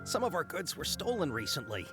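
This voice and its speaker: An animated, flustered merchant. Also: male voice, a little high, a little fast. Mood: apologetic, glum. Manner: hesitant, apologetic, dramatic. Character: flustered, worried male caravan merchant. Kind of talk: video game voice acting. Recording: clear game music behind the voice.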